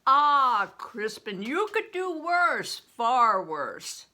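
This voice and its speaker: An older woman plays a big, worldly travelling showman from a storybook. The delivery is bright and forceful, swinging up and down in pitch as he hands out hearty, fatherly advice. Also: sing-song, very slow, exaggerated voice. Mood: earnest, reassuring.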